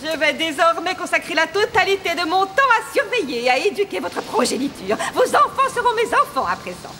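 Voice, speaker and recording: A woman's voice: extremely high pitch, big pitch swings, fairly quick, bright, forceful tone, slight background noise, heavily acted. A woman voicing a wicked, haughty stepmother queen in a fairy-tale cartoon, mock-sweet and sneering in a theatrical way. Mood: feigned sweetness. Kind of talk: animated fairy-tale dubbing.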